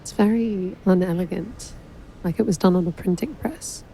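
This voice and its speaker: A woman acting in an audio drama as an exacting, frank royal calligrapher with a measured, dry manner. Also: lowish voice, warm tone, slight background noise, lightly acted, poor audio. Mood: resigned. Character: exacting, wry female royal calligrapher, deferential but frank.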